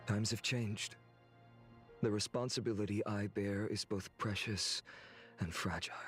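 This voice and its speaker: An actor playing an earnest, resolute young swordsman hero in a video game, speaking in a measured, friendly way. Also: fairly low pitch, fairly bright tone. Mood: solemn, resolute. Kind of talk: English video game voice acting. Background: background game music.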